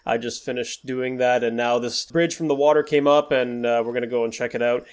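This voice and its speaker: A man's voice: medium pitch, fairly even intonation, brisk, clear, resonant tone. A man hosting a gaming video, giving casual, digressive commentary on gameplay in an apologetic manner. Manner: apologetic, digressive, often backtracking to earlier points. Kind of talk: casual gameplay commentary over recorded footage.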